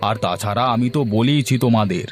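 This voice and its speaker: A man's voice: fairly low pitch, fast talker, lightly acted. A man voicing a touchy, idle grown son, a young adult, in a cartoon family scene. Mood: sulky. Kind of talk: cartoon dialogue acting.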